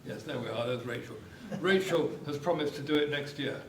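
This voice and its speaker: A man's voice: fairly high pitch, fairly quick. An older man giving an informal welcome speech as chairman, rambling a little and hesitantly repeating words. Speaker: club chairman. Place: echoey hotel meeting room. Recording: echoey room, distant mic, faint noise.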